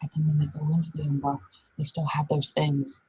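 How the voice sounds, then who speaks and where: Woman playing a wary werewolf, very husky voice. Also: sits low, flat delivery, a little fast, mellow, rough recording. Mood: measured, serious. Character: wary, politely probing werewolf sept member.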